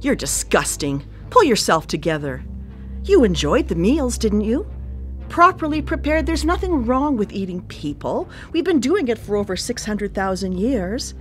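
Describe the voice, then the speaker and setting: An actress in a radio play playing a controlling, composed cannibal cook who dotes menacingly on family. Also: female voice, fairly high pitch, sing-song, moderate pace, faint music behind. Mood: calm, sinister. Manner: composed, coy, domineering. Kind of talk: live radio play acting.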